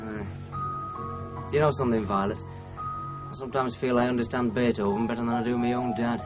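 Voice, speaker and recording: An actor playing a bookish, devoted young bridegroom with a Northern English accent in a radio drama, hesitant, stammering and apologetic. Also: male voice, mid-range voice, brisk, close to an everyday voice. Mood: tender, flustered. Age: young adult. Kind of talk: 1970s radio drama acting. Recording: muffled 1970s radio broadcast, music behind.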